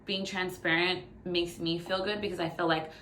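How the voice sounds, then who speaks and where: A woman's voice: fairly low pitch, level tone, moderate pace, bright, forceful tone, a little hiss or hum. A woman in her late twenties giving a chatty, candid personal update to her phone in a living room, in a casual vlogger style. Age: late 20s. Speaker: YouTube vlogger. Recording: echoey room sound on phone.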